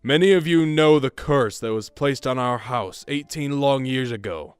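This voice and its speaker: A man's voice: medium pitch, moderate pace, clean tone, no room sound, soft background music, lightly acted. A man playing a proud, gracious king in an audio drama, speaking in a formal, proclaiming manner. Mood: proud, solemn. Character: proud, gracious fairy-tale king addressing his people.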